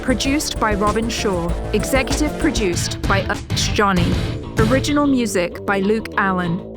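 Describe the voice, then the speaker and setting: A woman announcer giving a scripted read of show credits. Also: medium pitch. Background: loud music.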